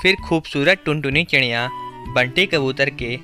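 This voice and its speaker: A man tells a scripted story for children in the role of narrator. His voice sits at a middle pitch over a soft music bed. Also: normal speed.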